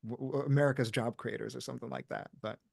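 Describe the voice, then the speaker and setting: Lively Brazilian man on a dry call. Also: medium pitch, fast, creaky, no room sound. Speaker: audiobook narrator. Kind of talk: panel chat.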